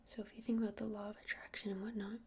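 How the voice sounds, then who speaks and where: A woman playing a gentle witch shopkeeper in an ASMR roleplay, speaking in a breathy whisper and explaining things in an unhurried, hesitant, soothing way. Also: medium pitch, little pitch movement. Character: gentle witch shopkeeper showing crystals to a customer.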